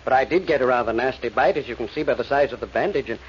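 A man acting a hesitant, smoothly apologetic gentleman in 1940s radio style. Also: mid-range voice, rapid-fire, natural voice. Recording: muffled, noisy old radio recording.

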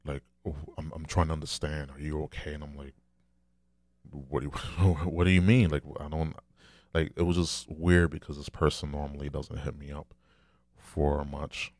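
A man with a deep, low, soft voice, talking candidly and rambling in a casual solo monologue, swearing now and then. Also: level tone, normal speed, no echo, clean studio sound.

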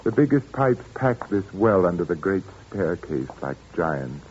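An actor playing a suave, calmly menacing murderer who plays the organ, speaking softly and slowly with relish in an old radio drama. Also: male voice, low voice, a little hiss or hum. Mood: silky, menacing. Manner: soft, slow, relishing menace. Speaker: villain in a radio play. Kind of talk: 1940s radio acting. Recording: muffled old radio recording with organ music.

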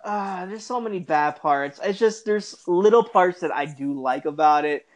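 A man's voice: high-pitched, normal speed, crowd noise. A man co-hosting a casual pop-culture podcast, ranting in an exasperated, mocking, emphatic way with swearing. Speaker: podcast co-host.

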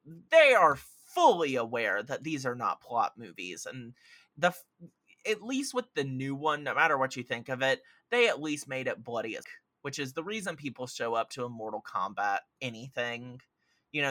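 A man's voice: medium pitch, no echo. A man hosting a podcast, talking about films in a casual, opinionated and emphatic way. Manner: animated, opinionated, profanity-prone, emphatic. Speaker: podcast host. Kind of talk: casual film discussion.

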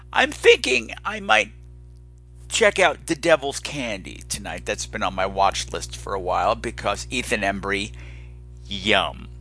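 A man with a bright, forward voice chats as a podcast host over music. His delivery is campy and rambling, with playful drama and a self-deprecating streak. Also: lowish voice, slightly rough. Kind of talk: casual chatty solo podcast monologue.

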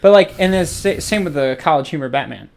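A man's voice: on the high side, lively intonation, gravelly, a little mellow. A man co-hosting a podcast in casual chat, telling rambling stories full of restarts. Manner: rambling storyteller, halting restarts. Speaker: podcast co-host.

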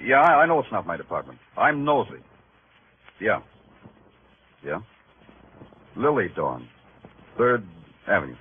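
An actor playing a world-weary, hard-boiled New York police detective in terse, streetwise 1950s radio noir style. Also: male voice, on the low side, big pitch swings, a little slow, long pauses, phone-quality sound, slight background noise. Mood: terse, troubled. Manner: terse, clipped, streetwise delivery. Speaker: lead actor and narrator. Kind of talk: 1950s radio crime drama acting, noir narration. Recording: band-limited old radio recording with music.

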